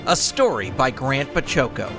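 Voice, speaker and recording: A man's voice: medium pitch, sing-song, moderate pace, music in the background. A man narrating a scripted comic adventure story as its author and reader.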